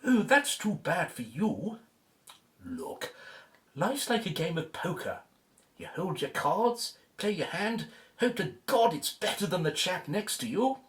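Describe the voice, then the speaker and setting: A man playing a suave, smug, slyly condescending gentleman rival in an audio drama, arch and drawling. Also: high-pitched, sing-song, unhurried, full character voice. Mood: smug, teasing.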